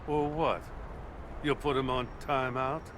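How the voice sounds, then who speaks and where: An actor playing a gruff, principled older police chief in a video game, speaking bluntly and earnestly. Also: male voice, mid-range voice, little pitch movement, a little slow, noisy background, lightly acted. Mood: gruff, defiant. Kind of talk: dramatic video game acting.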